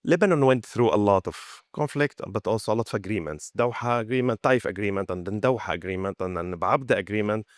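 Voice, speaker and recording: A man's voice: lowish voice, expressive, fast, few pauses, no room sound, clean studio sound. Man asking a pointed audience question. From Syria. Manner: polite, emphatic questioning.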